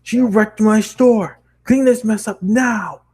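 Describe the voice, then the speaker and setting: A man's voice: high-pitched, slow, darkish tone, voice pushed up. A man voicing an angry, bullying middle-aged male store boss in a blustering, barking way for a story reading. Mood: furious.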